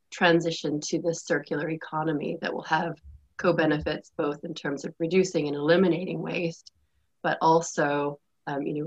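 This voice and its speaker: A woman's voice: low voice, husky, highs cut off. A woman speaking on a panel about sustainability, explaining at length with many fillers while losing her voice and fighting a cough. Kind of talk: panel discussion.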